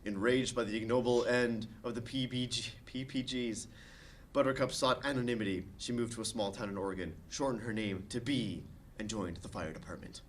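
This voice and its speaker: A man narrating the stage directions of a comedy screenplay at a table read, with expressive delivery. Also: medium pitch, fairly quick, slight background noise.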